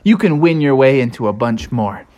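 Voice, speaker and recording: A woman voicing a friendly young beaver boy in a storybook, eager and quick to explain. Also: very deep voice, animated, warm tone, highs cut off.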